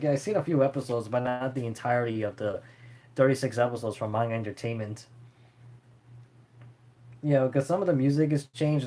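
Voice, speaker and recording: A man's voice: mid-range voice, darkish tone. Rambling man chatting casually. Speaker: streamer answering viewer questions about anime. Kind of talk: casual unscripted chat.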